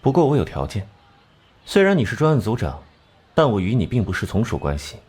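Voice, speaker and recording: A cold genius detective in an animated crime drama. His low voice moves widely in pitch as he makes exacting, formal pronouncements over a music bed. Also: fairly quick, breathy edge. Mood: cool, demanding. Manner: formal, clipped, literary turns of phrase.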